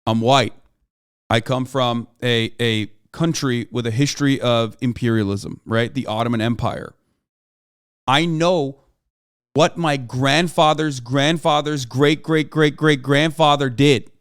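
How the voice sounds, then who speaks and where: A man's voice: medium pitch, a little slow, clear, resonant tone, no room sound, clean studio sound. A man streaming live, explaining his view emphatically to his chat, often checking in with "okay?" and swearing for emphasis. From Turkey. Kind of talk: livestream commentary lecturing chat.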